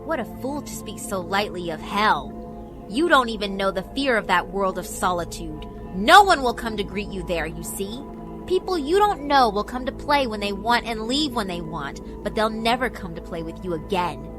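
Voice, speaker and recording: A woman's voice: mid-range voice, animated, normal speed, over music, lightly acted. Woman playing a haughty, cruel yokai villainess, theatrically taunting. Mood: taunting, menacing. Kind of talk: horror visual novel voice acting.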